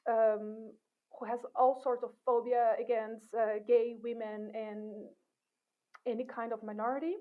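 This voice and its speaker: A middle-aged woman from Brazil with Portuguese as her first language talks in an animated, chatty way, breaking into laughter and restarting her thoughts. Her voice sits a little high. The sound is thin and tinny, as over a phone line. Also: unhurried. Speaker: guest, assistant professor. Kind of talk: casual interview chat.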